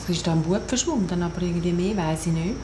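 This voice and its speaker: A woman's voice: on the low side, quick pace, lightly acted. A woman playing a puzzled, hesitant witness with a Swiss German accent in a radio play. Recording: echoey room with background noise.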